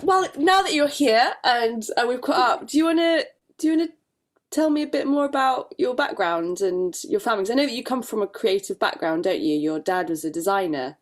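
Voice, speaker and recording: A woman's voice: fairly quick, no echo, clean studio sound. A woman in her thirties hosting a podcast, chatting casually and laughing easily with her guest. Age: 30s. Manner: giggly, chatty, rises in pitch when excited. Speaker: podcast host. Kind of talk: casual interview chat.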